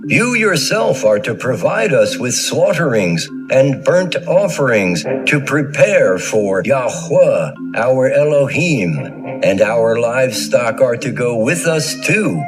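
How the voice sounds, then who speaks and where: Man playing slow, resolute Hebrew prophet. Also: medium pitch, sing-song, over music. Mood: firm, grave. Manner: deliberate, firm. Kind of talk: scripture audio dramatization.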